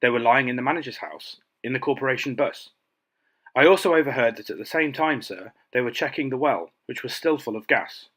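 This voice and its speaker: A man's voice: mid-range voice, fairly quick, a bit bright, close to an everyday voice. A man playing a deferential juryman, reporting formally in an audio drama. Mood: respectful. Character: respectful male juryman reporting to the coroner. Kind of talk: scripted audio drama acting.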